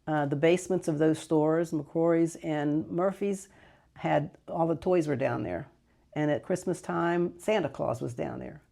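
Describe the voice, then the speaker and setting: An older woman who grew up speaking Greek at home, fondly recalling her childhood in a rambling interview with soft laughs and self-corrections. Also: low voice, unhurried, creaky. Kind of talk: casual reminiscing interview.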